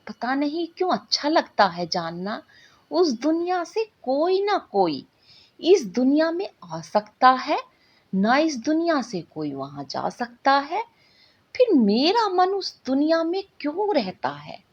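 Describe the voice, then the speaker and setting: A woman's voice: high voice, big pitch swings, takes it slowly, lo-fi sound, pitched above the performer's own voice, exaggerated voice. A woman voicing a dreamy, bossy young girl from a magical world in a bedtime story, earnest and dramatic. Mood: dreamy, wondering.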